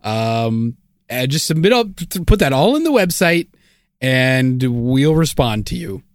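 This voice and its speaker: A man co-hosting a podcast, cracking deadpan jokes in a dry, laid-back way. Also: mid-range voice, unhurried, no room sound. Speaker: podcast co-host. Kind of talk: casual comedic chat.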